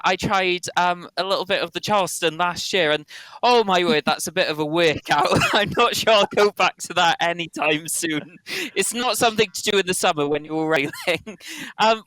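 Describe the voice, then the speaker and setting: Man, chat moderator, bright forward voice, upbeat, dry close sound. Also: high-pitched, normal speed, no room sound, rough recording. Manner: upbeat, reads out chat messages with light laughs. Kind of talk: live online chat.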